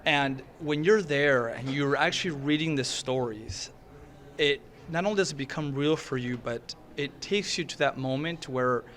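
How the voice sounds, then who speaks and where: A middle-aged man from Venezuela talks in a fairly high voice. He is earnest and emotional and often stumbles and restarts his sentences. He is speaking at an indoor red carpet event. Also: moderate pace, fairly bright tone. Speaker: actor and interview guest.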